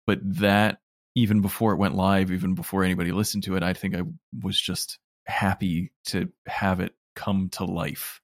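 A man's voice: sits low, little pitch movement, normal speed, no echo. A man answering as an interview guest, a producer talking conversationally about his work.

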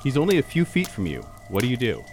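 A man's voice: medium pitch, wildly swooping pitch, quick pace, a little mellow, soft background music. A man running a tabletop role-playing scene as game master in an audio drama.

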